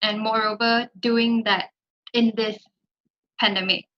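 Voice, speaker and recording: A young woman who recently started as a graduate trainee, talking in a relaxed, conversational way from home on a video call. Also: a little high, measured pace. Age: young adult. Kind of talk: casual panel talk. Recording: slightly muffled video call audio.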